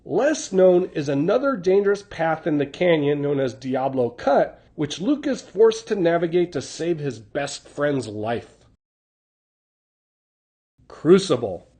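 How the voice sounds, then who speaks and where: A man's voice: fairly high pitch, sing-song, slow, warm tone. A man reading scripted narration, recapping stories in the role of a channel host.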